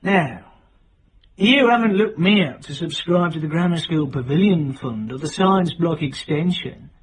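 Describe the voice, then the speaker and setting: Cocky self-made British man, middle-aged, rough-edged husky tone, lively intonation, clipped sardonic phrasing, radio drama. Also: a little high, a little slow, full character voice. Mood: cocky, teasing. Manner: brash, clipped, sardonic colloquial talk. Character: hard, cocky, self-made working-class British man, unsentimental schemer.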